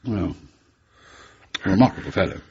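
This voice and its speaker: An actor playing a stern older British intelligence chief in a radio drama, gruff and brusque, with an English accent. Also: male voice, low-pitched, lively intonation, normal speed, a little mellow, lo-fi sound, exaggerated voice. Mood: brusque, businesslike. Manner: brusque, hesitant on personal matters, authoritative.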